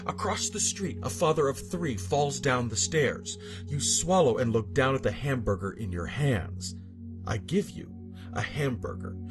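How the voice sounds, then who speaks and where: A man narrates a scripted horror story in a mid-pitched voice. His delivery is theatrical and solemn, with deliberate phrasing. Music plays behind him. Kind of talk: dramatic scripted creepypasta narration. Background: background music.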